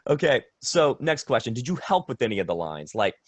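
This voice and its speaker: Animated man interviewing, close dry sound. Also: medium pitch, fairly quick, no room sound, highs cut off. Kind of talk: casual fan interview chat.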